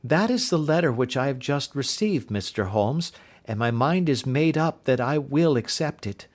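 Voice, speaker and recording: A man's voice: medium pitch, animated, moderate pace, lightly acted. A man reading as an earnest young governess. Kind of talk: audiobook reading.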